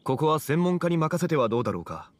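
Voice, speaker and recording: A man playing a composed, wise young gentleman in an anime game, speaking in a formal, measured way. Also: a little high, big pitch swings, no room sound. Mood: calm, courteous. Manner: formal, measured, archaic phrasing.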